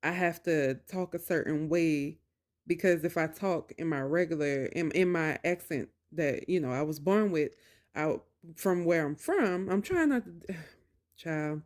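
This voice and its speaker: A woman's voice: deep voice, measured pace, darkish tone. A woman talking casually to viewers and telling a personal story in colloquial phrasing, emphatic and repeating phrases for stress. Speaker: video creator talking to viewers about narcissistic abuse.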